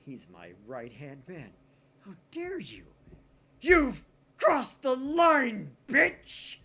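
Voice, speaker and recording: A man's voice: very high-pitched, drawn-out words, breathy edge, pitched above the performer's own voice, exaggerated voice. Man playing a melodramatic, paranoid young mad scientist. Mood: outraged, accusing. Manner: theatrical, excitable, suspicious. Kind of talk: visual novel read aloud in character.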